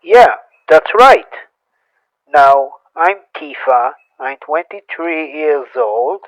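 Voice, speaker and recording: A man's voice: on the high side, lively intonation, extremely slow, dry recording, muffled recording. A man voicing a young woman, an emotional, fed-up wife and mother who pleads and scolds. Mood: accusing.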